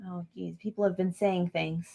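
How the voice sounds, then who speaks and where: A woman's voice: lowish voice, steady tone, moderate pace, gravelly, warm tone. Playful, teasing woman co-host. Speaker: livestream co-host. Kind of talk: casual livestream chat, greeting viewers.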